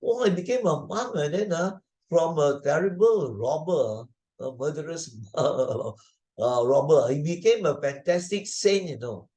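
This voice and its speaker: A man's voice: a little high, lively intonation, measured pace, warm tone. An older man with a Malaysian accent teaches a moral lesson conversationally, repeating key points with emphasis and checking in with frequent tag questions. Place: online video presentation.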